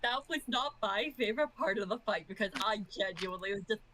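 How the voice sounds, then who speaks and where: A woman's voice: medium pitch, expressive, brisk, fairly bright tone, a little hiss or hum. A woman chatting casually as a player in a tabletop game, speaking in a blunt, emphatic way. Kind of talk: casual chat.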